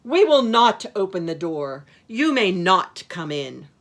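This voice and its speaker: A wary little storybook goat kid, acted by a woman. The voice is raised and childlike, with emphatic, lively delivery. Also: fairly high pitch, measured pace, voice pushed up. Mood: defiant. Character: wary young goat kids, brother and sister.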